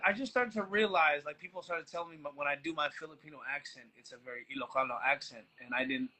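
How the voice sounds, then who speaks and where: Laid-back Canadian guy with a bright voice. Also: fairly high pitch, fast, rough recording. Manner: laid-back, trailing restarts. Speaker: podcast guest. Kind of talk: casual chat.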